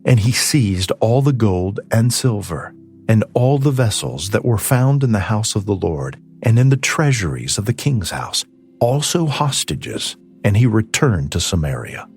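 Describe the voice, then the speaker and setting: A man narrating scripture as a scripted audiobook reading, with measured, solemn phrasing. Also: on the low side, sing-song, a little mellow. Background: ambient music.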